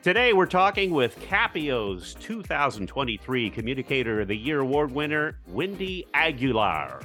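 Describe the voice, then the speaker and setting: A man's voice: medium pitch, very animated, moderate pace, sharp tone, soft background music. A man co-hosting a podcast, introducing and questioning the guest in an upbeat way. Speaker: podcast co-host.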